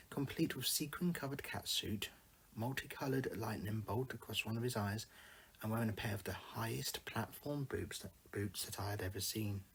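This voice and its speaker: A man with a husky voice reading his own first-person short story aloud in a single take. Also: on the low side, fairly bright tone, rough recording.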